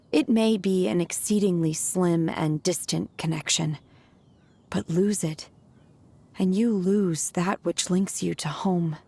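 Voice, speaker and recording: A woman voicing a reserved, earnest young exorcist who speaks quietly and carefully in a video game. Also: lowish voice, creaky, breathy edge, full character voice. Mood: pensive. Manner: slow, quiet, measured, earnest.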